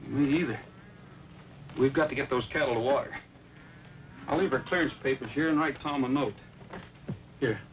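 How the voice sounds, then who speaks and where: An actor playing an earnest, loyal cowboy in an old western radio drama, plain-spoken and practical. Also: male voice, medium pitch, normal speed, pauses often, natural voice. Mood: concerned, practical. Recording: muffled old radio recording, light noise.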